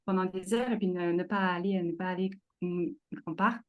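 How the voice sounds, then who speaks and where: A woman's voice: lowish voice, fairly even intonation, brisk, highs cut off. Chatty French woman with Canadian tinge. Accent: slight Canadian French. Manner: hesitant, many fillers and restarts. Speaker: creative coach, interview guest. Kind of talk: casual conversational interview.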